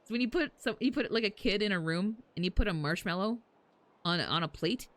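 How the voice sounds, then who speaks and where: A woman's voice: mid-range voice, a little fast, dry recording. A woman livestreaming games, chatting casually and animatedly with her viewers and swearing now and then. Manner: animated, swears casually, chuckles while talking. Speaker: game livestreamer. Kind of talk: casual chat with stream viewers. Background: faint game music and character voice lines.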